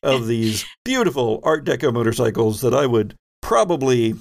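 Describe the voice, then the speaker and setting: A man runs a tabletop roleplaying game, describing scenes as he improvises them. His pitch sits in a medium range for a man. He talks conversationally and drops in wry asides. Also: very animated, normal speed, vocal fry. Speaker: game master and host.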